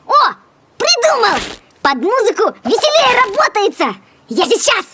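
An actor voicing an excitable young boy bear cub in a children's cartoon, with a theatrical, announcer-like delivery. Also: very high-pitched, a little slow, very noisy, exaggerated voice. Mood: excited.

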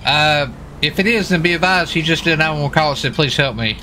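A man role-playing a police officer in a casual, improvised game, talking in a folksy, excitable way and often repeating himself. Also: fairly high pitch, fast. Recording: faint background noise, game sirens. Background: game sirens and vehicle sounds.

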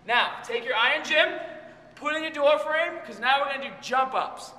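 A man's voice: extremely high pitch, normal speed, pushed and bright. A man leading a workout as a fitness trainer, calling out reps while doing the exercises himself, straining and out of breath. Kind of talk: workout instruction. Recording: echoey room with long reverb.

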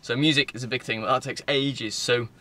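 A man's voice: medium pitch, fairly even intonation, brisk, sharp tone. A man in his twenties with a Northern English accent, chatting casually and loosely in a rambling vlog, recorded on a phone at arm's length inside a car. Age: 20s. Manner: informal, loose, digressive. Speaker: vlogger. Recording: faint car-interior background noise.

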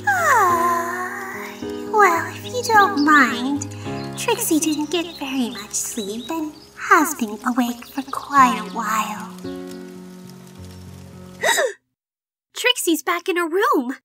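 A woman's voice: very high-pitched, big pitch swings, slow pace, pauses often, bright, forceful tone, soft background music, exaggerated voice. A woman voicing a dramatic, self-important but tender young female unicorn pony in a cartoon comic dub, with a theatrical manner. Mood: weary. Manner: theatrical, speaks of herself in third person. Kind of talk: animated comic dub acting.

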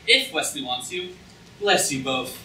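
Man playing a bright-voiced scheming prince, echoey hall. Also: a little high, lively intonation, unhurried, heavily acted. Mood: persuasive. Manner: theatrical, self-satisfied. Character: smug, scheming, treacherous young prince. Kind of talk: staged reading of a comic play. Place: auditorium stage, recorded from the audience. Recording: echoey hall, far camera, room noise.

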